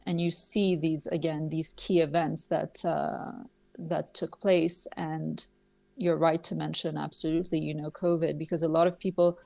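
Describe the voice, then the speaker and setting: Low, gravelly Swiss-raised woman. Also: flat delivery, a little mellow. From Switzerland. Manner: measured, explanatory, with many fillers. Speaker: guest. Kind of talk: podcast interview.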